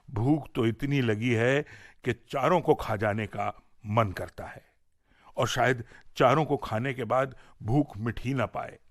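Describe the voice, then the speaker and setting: A man's voice: mid-range voice, creaky, no room sound, clean studio sound, full character voice. A man voicing a lazy, foolish, hungry villager who pleads and dithers in a radio folk tale. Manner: pleading, simple-minded, dithering.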